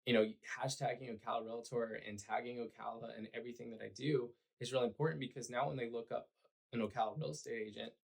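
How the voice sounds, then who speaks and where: A man, hoarse and raspy from cheering, talking earnestly about his work as a podcast guest with lots of fillers. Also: mid-range voice, steady tone, fast, low-quality recording. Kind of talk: conversational podcast interview.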